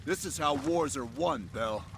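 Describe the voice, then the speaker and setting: A man's voice: medium pitch, expressive, measured pace. An actor playing a male soldier in the field, straining to speak to comrades in a video game action scene. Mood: triumphant resolve. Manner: straining, calling out. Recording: loud battlefield vehicle noise. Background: vehicle and ambient noise.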